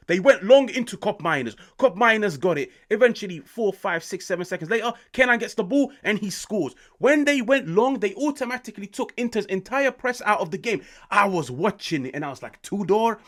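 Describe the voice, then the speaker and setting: A British man in his late twenties, hosting an excited football reaction, swearing often and swinging between loud outbursts and hushed asides. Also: sits high. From United Kingdom. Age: 20s. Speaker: football channel host.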